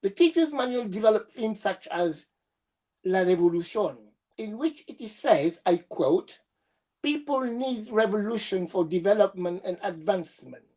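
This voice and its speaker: A young woman with a cold, presenting her doctoral research over a video call in a steady, measured reading voice with small hesitations. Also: medium pitch. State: has a cold. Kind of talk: reading a prepared academic presentation.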